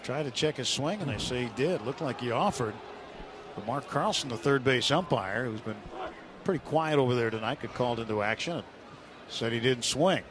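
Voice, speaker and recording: A man's voice: mid-range voice, expressive, brisk, background noise. A man calling a baseball game live on the radio as the play-by-play announcer. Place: stadium broadcast booth.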